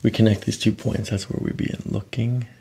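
A middle-aged man working as a chiropractor, giving calm, short, soothing instructions during an ASMR treatment. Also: on the low side, brisk. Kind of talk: hands-on treatment talk, ASMR. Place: small office treatment room. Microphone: microphone on a boom nearby.

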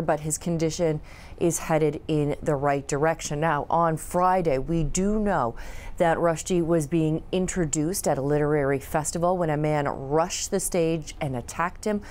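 A young woman correspondent giving a live news report from a newsroom studio, measured and hesitating with fillers. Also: deep voice, darkish tone.

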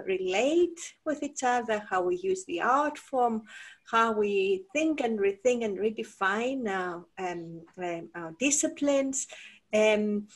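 A woman whose first language is Greek leads an online panel discussion over a video call. Her voice sits in a middle pitch, and she speaks reflectively, with frequent fillers. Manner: reflective, halting with many fillers. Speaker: panel moderator.

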